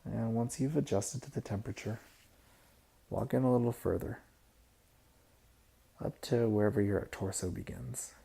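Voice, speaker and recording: Male meditation guide: low, very dark and mellow, gravelly fry, soft and unhurried. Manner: soft-spoken, unhurried instruction with pauses.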